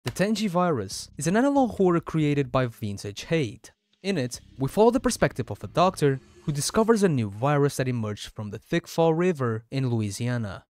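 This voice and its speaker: A man's voice: on the high side, big pitch swings, normal speed, darkish tone, dry recording. Man narrating scripted horror countdown, dry sound. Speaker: video narrator and host. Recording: dry voice over background music. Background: music.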